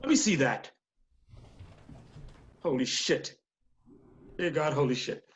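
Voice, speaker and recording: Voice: medium pitch, very animated, slow. An actor playing a blunt, stern, profane studio executive in a screenplay table read. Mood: alarmed, demanding.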